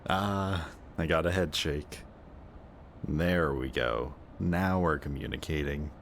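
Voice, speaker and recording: A man's voice: extremely low pitch, slow pace, vocal fry, slight background noise, heavily acted. A young man playing a cocky, sarcastic antihero villain with a secretly kind streak, talking in casual, teasing banter in a roleplay audio drama. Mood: casual, coaxing. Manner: casual, sardonic, teasing banter with muttered asides.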